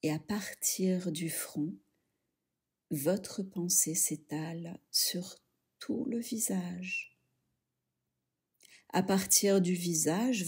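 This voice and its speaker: A woman leading a guided yoga relaxation, speaking softly and soothingly in short phrases with pauses. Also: on the low side, husky. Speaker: yoga nidra instructor.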